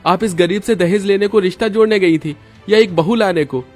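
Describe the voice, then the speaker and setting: A man's voice: sits high, fairly quick, a little mellow, soft background music. A man voicing a stern, protective young husband in a cartoon story, firm and reproachful. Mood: indignant. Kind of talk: cartoon moral story acting.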